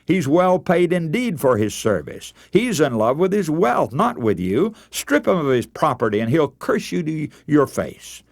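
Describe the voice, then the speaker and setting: A man's voice: on the high side, wildly swooping pitch, normal speed, gravelly, few pauses, dry recording, clean studio sound, exaggerated voice. A man preacher voicing Satan, a sly and scornful supernatural accuser, with insistent emphasis in a sermon story. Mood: scornful, accusing.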